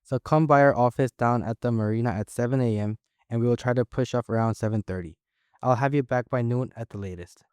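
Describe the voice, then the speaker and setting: Brief: a man as an easygoing, loud charter captain; even, mid-pitched, smooth tone. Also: brisk, no room sound, clean studio sound, natural voice. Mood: upbeat. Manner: chatty, easygoing. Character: friendly, loud adult male charter boat owner.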